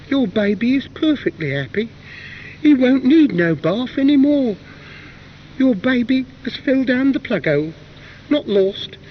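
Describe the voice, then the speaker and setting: A man's voice: extremely high pitch, pitched above the performer's own voice, heavily acted. A man voicing cheery angels who sing out comic bad news. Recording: dull hissy old cassette recording.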